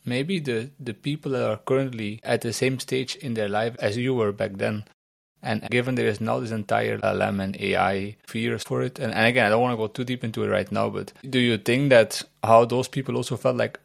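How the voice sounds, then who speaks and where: A man's voice: mid-range voice, steady tone, brisk, gravelly, no room sound. A man hosting a tech podcast, chatting loosely and asking questions with the odd pun. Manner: chatty, loose phrasing, playful puns. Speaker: podcast host. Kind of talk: casual interview chat.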